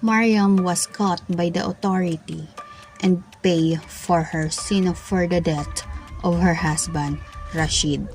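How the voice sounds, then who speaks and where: A woman's voice: on the low side, fairly even intonation, a little mellow, soft background music, low-quality recording. A woman narrating a student radio play in a plain storytelling manner. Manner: slow, storytelling.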